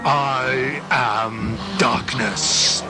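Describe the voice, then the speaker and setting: An actor playing an ancient, cold spirit of darkness who speaks in solemn, cryptic pronouncements in a sci-fi audio drama. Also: male voice, medium pitch, steady tone, extremely slow. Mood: cold, ominous. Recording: loud music under the voice.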